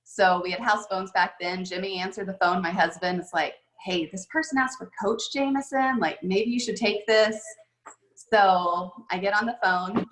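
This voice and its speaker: A woman's voice: mid-range voice, moderate pace, fairly bright tone. A woman head golf coach telling stories in a casual, chatty way with many fillers, over a video call. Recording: echoey room on a video call.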